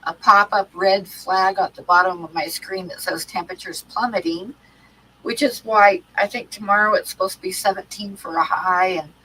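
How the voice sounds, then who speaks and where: A woman's voice: fairly low pitch, sharp tone, a little hiss or hum, poor audio. A woman with a stuffy cold hosting an art livestream, chatting casually with many ums and restarts. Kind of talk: casual chat to live chat.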